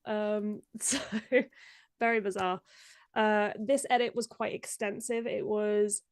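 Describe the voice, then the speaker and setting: A woman's voice: mid-range voice, steady tone, takes it slowly, smooth, no room sound, studio quality. A young woman, a video editor guesting on a live stream, chatting casually and laughing often while sounding stuffed up from a cold. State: congested, stuffed up.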